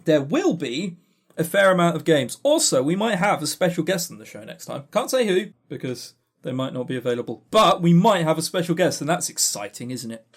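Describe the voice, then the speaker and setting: Male podcast co-host, fairly high-pitched, wide-ranging delivery, animated and opinionated, casual halting chat.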